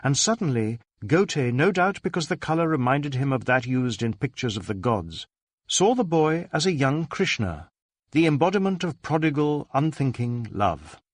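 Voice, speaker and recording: A man reading a story as a scripted audiobook narrator, in an expressive storytelling manner. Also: medium pitch, normal speed, no room sound, studio quality.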